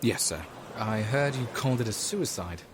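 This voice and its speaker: An actor playing a weary, rule-bending detective sergeant in an audio drama, with a dry, understated delivery. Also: male voice, lowish voice, expressive, moderate pace, noisy background, natural voice. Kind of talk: audio drama acting with first-person narration.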